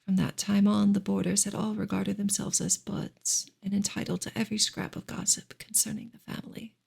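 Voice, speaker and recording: Woman whispering softly, breathy and crackly. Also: mid-range voice, little pitch movement, brisk. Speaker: ASMR reader of a classic novel. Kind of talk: whispered ASMR audiobook reading.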